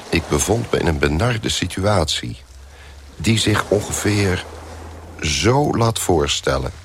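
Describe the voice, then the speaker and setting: A man's voice: low-pitched, a little hiss or hum, heavily acted. An actor playing a hungover, bedraggled man who tells his misadventures in a wry, self-pitying way in a radio play. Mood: bewildered. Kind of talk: first-person radio play narration.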